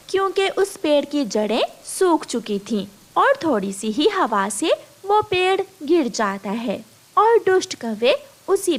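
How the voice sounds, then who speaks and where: High-pitched, animated woman storyteller. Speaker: story narrator. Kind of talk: expressive scripted children's story narration.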